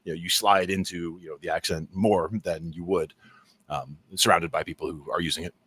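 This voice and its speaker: A man's voice: low voice, steady tone, a little fast, sharp tone, husky. A man from New Jersey with a mild New Jersey accent, chatting casually as a podcast co-host, rambling and laughing often. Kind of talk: casual chat.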